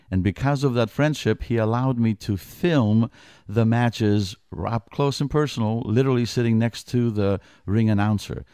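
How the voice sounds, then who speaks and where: A man's voice: mid-range voice, warm tone, no echo. A middle-aged man from Greece, a wrestling commissioner, talking as a guest in a radio studio interview, earnest and prone to restarting his sentences.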